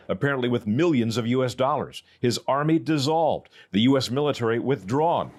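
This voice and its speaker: An American male reporter narrates a news story from a script. His voice sits at a medium pitch, and he delivers each line as formal broadcast narration. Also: a little hiss or hum.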